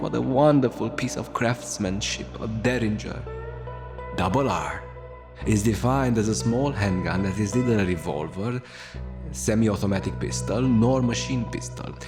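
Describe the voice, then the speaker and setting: A man playing a melancholic, world-weary radio host, delivering a brooding, wry confessional monologue in florid words. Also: fairly low pitch. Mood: wistful. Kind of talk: scripted radio monologue, noir-like confessional narration. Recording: music under the voice. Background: guitar music.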